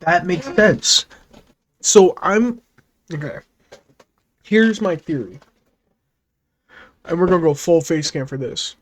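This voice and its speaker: A man's voice: high voice, expressive, takes it slowly, pauses often. A man chatting casually as a video host, giving reaction commentary and theories.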